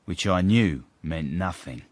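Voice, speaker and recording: An actor playing a wry, knowing gentleman's manservant who narrates a period radio drama in a droll manner. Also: low-pitched, a little slow, soft background music. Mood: amused. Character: wry, knowing gentleman's manservant recounting his master's swindles. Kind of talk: in-character storytelling in radio drama.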